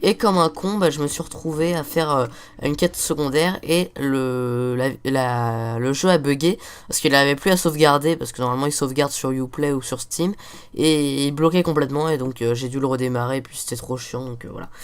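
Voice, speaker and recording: A man's voice: mid-range voice, fairly even intonation, brisk, smooth. A teenage boy with a cold, hosting a casual let's-play and rambling on while swearing at the game. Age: teens. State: has a cold, stuffy. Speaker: gaming video host.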